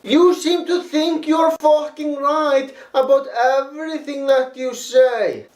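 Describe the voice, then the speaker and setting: A man's voice: very high voice, fairly even intonation, slow pace, roomy sound. A man reading a prepared critique in a blunt, profane, lecturing manner. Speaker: video critic. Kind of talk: reading a prepared response video.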